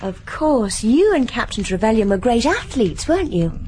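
A sheltered young woman from South Africa in a vintage radio play, her voice high and girlish with lively swoops. Every line brims with breathless enthusiasm, and faint hiss sits under the old recording. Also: normal speed. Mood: eager. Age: young adult. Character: eager sheltered young daughter.

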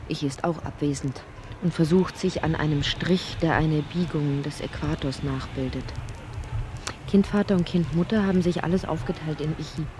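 An actress playing a brooding, introspective narrator of a dreamlike literary radio drama, with a soft, breathy, husky delivery. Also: female voice, low voice, background noise, natural voice. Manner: measured, introspective delivery, sometimes sinking into whispers.

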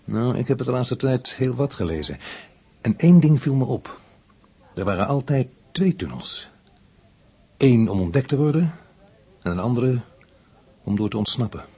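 An actor playing a sceptical police inspector in a radio drama, questioning and deliberate, with a husky voice. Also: male voice, medium pitch, animated, dark tone. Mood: thoughtful. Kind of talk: radio play acting.

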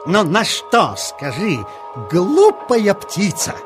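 Children's audio play: cocky, evasive polecat, high voice, very lively pitch, blustering and teasing. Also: male voice, slow pace, music in the background, full character voice. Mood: smug. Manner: blustering, teasing, repeats himself. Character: boastful, sly male forest polecat, vain and evasive.

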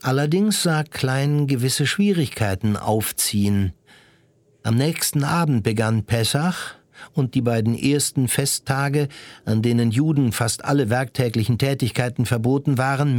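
A man narrating a literary radio drama in a measured storytelling way. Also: medium pitch, lively intonation, darkish tone, dry recording.

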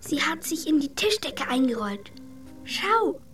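A woman's voice: high voice, very animated, measured pace, fairly bright tone, soft background music, heavily acted. A woman acting a young girl in a German radio drama, a bossy, protective big sister. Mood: hushed, excited.

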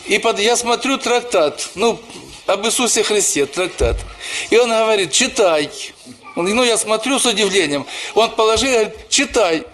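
A man's voice: high voice, lively intonation, moderate pace, a bit bright, a little hiss or hum. A man who is a church pastor, preaching a sermon and telling personal stories, often calling the listeners brothers and sisters.

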